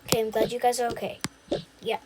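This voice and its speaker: A young woman improvising a roleplay voiceover in a halting, unscripted way, often talking to herself. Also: on the high side, little pitch movement. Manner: unscripted, halting, with repeated self-talk. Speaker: video creator voicing a roleplay. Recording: noisy home recording with clicks.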